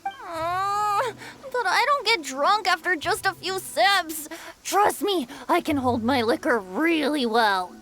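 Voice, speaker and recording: A man's voice: very high voice, big pitch swings, a little slow, hardly any pauses, fairly bright tone, heavily acted. An actor playing a short, cheerful, wheedling young male bard in a video game, with a lilting, theatrical, pleading manner. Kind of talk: animated video game voice acting.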